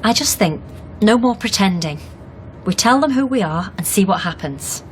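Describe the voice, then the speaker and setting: A woman's voice: medium pitch, lively intonation, moderate pace, slight background noise, close to an everyday voice. An actress playing a determined, reassuring younger woman in a naturalistic radio drama, with a conversational, coaxing manner. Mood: gentle, supportive. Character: determined, reassuring younger woman investigating her fiancé's past.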